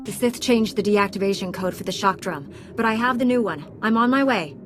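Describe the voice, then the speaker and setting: A woman's voice: medium pitch, brisk, over music, natural voice. Woman playing a commanding warrior in long reverb. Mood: firm, commanding. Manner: firm, curt, imperious. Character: hard, commanding young female warrior knight. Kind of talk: dramatic video game acting.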